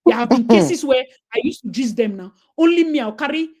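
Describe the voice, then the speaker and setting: A woman's voice: sits high, a little fast. A Nigerian woman with a Nigerian accent, chatting animatedly and slipping into pidgin as she tells stories. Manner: animated storyteller, slips into pidgin. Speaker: host. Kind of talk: casual chat.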